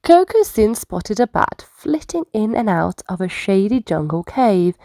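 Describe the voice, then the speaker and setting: A woman reading bedtime stories for children in an expressive, theatrical way with deliberate phrasing. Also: medium pitch, no room sound, studio quality. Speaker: children's story reader. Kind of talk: expressive bedtime storybook narration.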